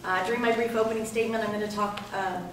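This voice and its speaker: A middle-aged woman from Northern California, giving a measured debate opening statement as a candidate. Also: mid-range voice, steady tone, background noise. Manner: measured, with frequent um and uh. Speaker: political candidate. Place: public event hall. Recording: echoey hall from far off.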